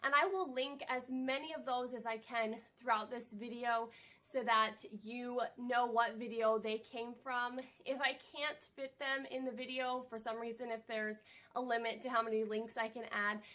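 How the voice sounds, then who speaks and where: A woman's voice: a little high, fairly even intonation, normal speed, bright, forceful tone, no room sound. A young woman with a head cold, chatting in a friendly, upbeat way as she narrates a casual home decor how-to vlog from home.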